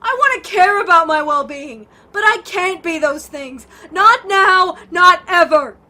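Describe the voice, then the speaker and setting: A woman's voice: extremely high pitch, expressive, a little slow, bright, forceful tone, exaggerated voice. A woman playing a bitter, guilt-ridden, foul-mouthed woman in an audio drama, blunt and defensive in manner. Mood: self-loathing outburst.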